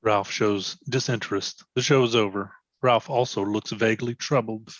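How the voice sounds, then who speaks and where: A man's voice: on the low side, fairly even intonation, moderate pace, dry recording, muffled recording. A man reading stage directions as scripted narration for a readers theatre.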